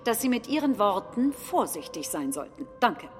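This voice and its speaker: An actress playing a stern, formal parliament chair who firmly admonishes in a staged reading of a debate. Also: female voice, fairly high pitch, sing-song, normal speed, soft background music. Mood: stern, admonishing.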